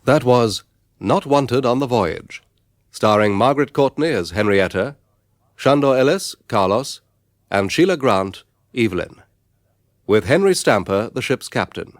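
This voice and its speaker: A male radio announcer reads the closing credits in a formal, crisp manner. His voice is mid-pitched and recorded dry and close, with no room sound. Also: lively intonation, unhurried, no echo.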